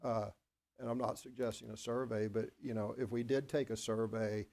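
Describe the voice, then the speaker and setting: A man from Oklahoma, a school board member, talking at a board work session in a rambling, halting way with many restarts and a self-deprecating manner. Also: on the low side, steady tone, a little mellow. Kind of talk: board meeting discussion.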